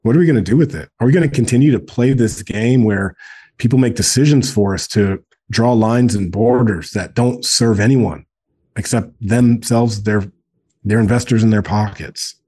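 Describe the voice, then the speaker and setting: A man's voice: on the low side, moderate pace, dark tone, husky. A man in his forties speaking earnestly in a casual podcast conversation, often repeating words for emphasis. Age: 40s. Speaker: guest, men's group co-founder.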